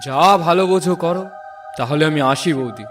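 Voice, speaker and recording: A man's voice: on the high side, expressive, fairly quick, far from the mic, soft background music, lightly acted. A man playing a cautious village neighbour in a horror cartoon, sounding slightly off the microphone. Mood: concerned, warning. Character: cautious village neighbour, like a younger brother-in-law. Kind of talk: Bengali cartoon voice acting.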